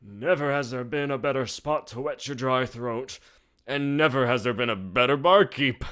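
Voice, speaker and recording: A man's voice: medium pitch, normal speed, a bit bright, clear, resonant tone, pitched above the performer's own voice, lightly acted. Man putting on a drawling old Western bartender. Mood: proud, boastful. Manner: drawling, folksy, theatrical. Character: folksy old male saloon bartender, boastful storyteller.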